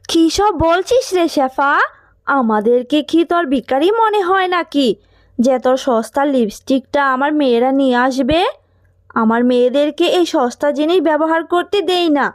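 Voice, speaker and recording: A woman voicing a haughty, quick-tempered rich animal mother in a children's cartoon, scolding with snobbish scorn. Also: high-pitched, lively intonation, no room sound, clean studio sound. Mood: scornful.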